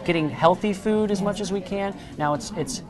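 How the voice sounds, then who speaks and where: A man in his thirties on a lapel mic, eagerly pitching his restaurant in a TV interview and agreeing with an upbeat "absolutely". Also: normal speed, over music. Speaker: restaurant representative. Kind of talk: promotional TV interview. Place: large busy restaurant dining room. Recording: restaurant crowd chatter behind. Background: diners chatting.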